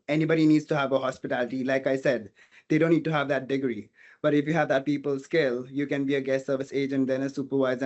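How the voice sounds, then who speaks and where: A man's voice: a little high, muffled recording. A man from India with a Portuguese mother tongue, a hospitality student speaking earnestly as an interview guest, thinking aloud as he goes. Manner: earnest, thinking aloud with frequent "you know". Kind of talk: casual interview talk.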